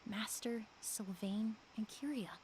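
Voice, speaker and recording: A woman's voice: mid-range voice, slow, a little mellow, slight background noise, close to an everyday voice, low-quality recording. Woman playing a composed, earnest young heroine. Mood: resolute, earnest. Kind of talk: video game voice acting.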